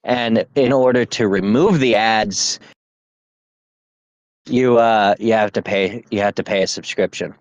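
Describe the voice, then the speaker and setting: A man's voice: fairly low pitch, unhurried, no room sound. A young man co-hosting a casual podcast, rambling with restarts as he vents about websites. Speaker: podcast co-host. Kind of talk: casual chat. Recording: dull lo-fi dry sound.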